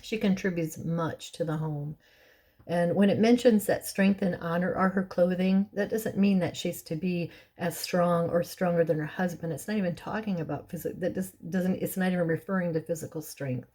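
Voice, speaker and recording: A middle-aged woman with a hoarse, raspy voice, clearing her throat often and chatting with soft laughs as she teaches a Bible lesson. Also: on the low side, normal speed.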